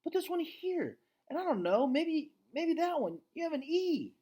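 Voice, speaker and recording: Man putting on an excited, high-pitched young girl. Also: normal speed. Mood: excited wonder. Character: excited, earnest young girl, observant and insistent.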